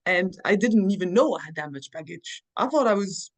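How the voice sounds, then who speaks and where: A woman's voice: medium pitch, animated, brisk. A woman from Belgium, a podcast guest, talking earnestly and telling personal stories in casual conversation with frequent restarts.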